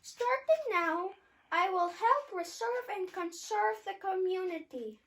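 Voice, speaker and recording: A carefree, candy-loving little boy puppet, acted out by a child, very high and slow. He exclaims and stretches his words in a swooping children's puppet show delivery. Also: lively intonation, vocal fry, a bit bright, full character voice. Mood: regretful. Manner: exclaiming, drawn-out delight.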